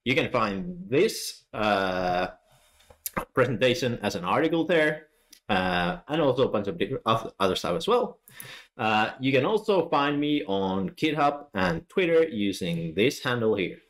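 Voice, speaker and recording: A man's voice: medium pitch, big pitch swings, measured pace. A man from Finland with a Finnish accent, giving a technical conference talk in a friendly, explaining way with many "uh" fillers. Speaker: conference speaker.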